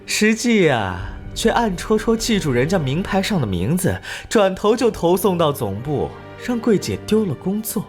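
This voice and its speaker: An actress playing a brazen, flirtatious, sharp-tongued female assistant in an animated crime drama, with a sassy, gossipy drawl. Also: on the low side, normal speed, hardly any pauses, faint music behind. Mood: gossipy, catty.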